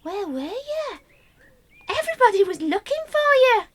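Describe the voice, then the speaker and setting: A woman's voice: very high-pitched, sing-song, moderate pace, a bit bright, pitched above the performer's own voice, heavily acted. A woman voicing an inquisitive, pestering young girl in a radio play. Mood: excited, prying. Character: shrill young girl pressing someone with questions.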